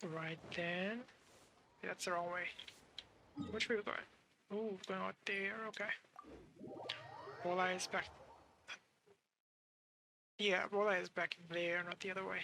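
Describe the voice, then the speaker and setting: A woman's voice: lowish voice, fairly even intonation, unhurried, gravelly, long pauses, bright, forceful tone, a little hiss or hum. A woman streamer giving casual commentary on a game playthrough.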